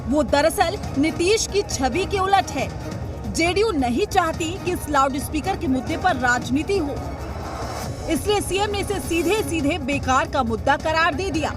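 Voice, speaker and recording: A woman's voice: high-pitched, expressive, measured pace, music in the background. A woman delivering a scripted television news report voice-over.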